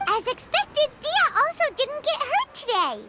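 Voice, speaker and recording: A woman voicing a small, childlike fairy companion in a video game, squeaky, earnest, excitable and inquisitive. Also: a little slow, heavy background noise, exaggerated voice. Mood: matter-of-fact, earnest. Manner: speaks of herself in the third person, exclamatory. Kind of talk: animated video game voice acting.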